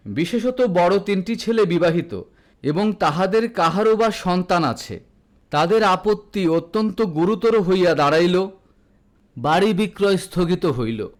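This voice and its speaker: Man narrating a story, higher-pitched voice. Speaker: audiobook narrator. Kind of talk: scripted literary story narration.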